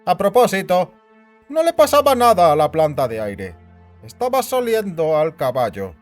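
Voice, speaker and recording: A man playing a worried, scheming and bossy senior official in an audio drama, speaking with theatrical, deliberate authority. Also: high voice, big pitch swings. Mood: matter-of-fact. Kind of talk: scripted Spanish audio drama acting. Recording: music bed behind the voice.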